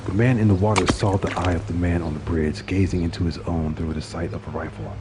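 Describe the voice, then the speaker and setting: A man's voice: low-pitched, fairly even intonation, brisk, noisy background. A man reading a suspenseful story as narrator, in a dramatic scripted style.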